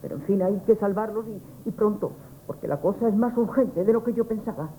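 A woman's voice: medium pitch, brisk, warm tone, lightly acted, rough recording. An actress playing a shrewd older neighbor woman who plots to save a family, in a 1970s radio play. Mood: urgent. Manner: urgent, conspiratorial. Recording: old radio broadcast with faint hiss.

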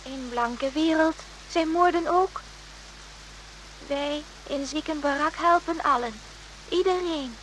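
An actress playing a gentle, steadfast Vietnamese hospital helper in a radio drama, speaking halting, broken phrases. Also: female voice, sits high, a little hiss or hum, poor audio. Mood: earnest, patient. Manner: broken, halting Dutch in short simple phrases.

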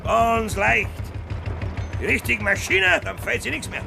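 A man's voice: very high-pitched, big pitch swings, a little slow, creaky, music in the background, full character voice. A man playing a stubborn, boastful middle-aged farmer and father in a radio play, speaking emphatic Bavarian dialect. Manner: emphatic, blustering dialect speech. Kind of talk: naturalistic German radio play acting.

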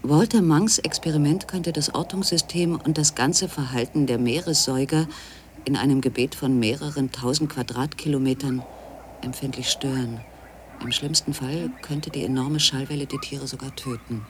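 A man reading scripted documentary narration for a radio feature in a measured, factual way. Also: medium pitch, a little hiss or hum.

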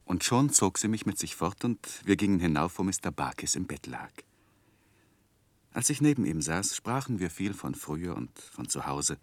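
An actor playing an earnest young gentleman in a 1960s radio play, speaking and narrating in a measured, polite way. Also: low voice, no room sound. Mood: reflective. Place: dry radio studio.

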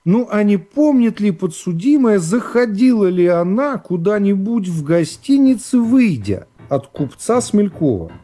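Man voicing a pompous, high-pitched insinuating prosecutor. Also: very animated, mellow, full character voice. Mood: insinuating, smug. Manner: drawn-out, formal, insinuating questions. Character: pompous, insinuating male prosecutor, falsely naive. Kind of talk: dramatised literary reading.

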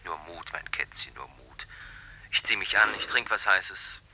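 An actor playing a reassuring secret lover in a 1960s radio play, coaxing and hushed. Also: male voice, sits low, measured pace, heavily acted, poor audio. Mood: tender, soothing.

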